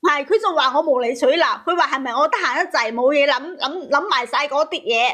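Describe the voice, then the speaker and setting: A woman's voice: high-pitched, fairly quick, bright, forceful tone. A woman with a Cantonese accent telling her personal story as a caller, agitated and emphatic, often repeating her phrases. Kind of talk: emotional personal storytelling on a call-in show.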